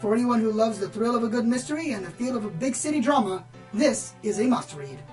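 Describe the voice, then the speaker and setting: A woman's voice: on the high side, lively intonation, fast, darkish tone, faint music behind, lightly acted, low-quality recording. A woman playing a bookish, slightly prim pony book reviewer in a radio play, speaking formally and articulately. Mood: earnest, formal. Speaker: on-air book presenter.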